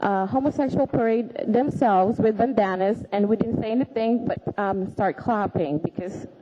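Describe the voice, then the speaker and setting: A woman, reading a prepared statement at a city assembly, earnest and halting. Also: mid-range voice, warm tone. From Alaska, raised in the Philippines. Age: 20s. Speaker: public commenter. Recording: dull lo-fi meeting recording.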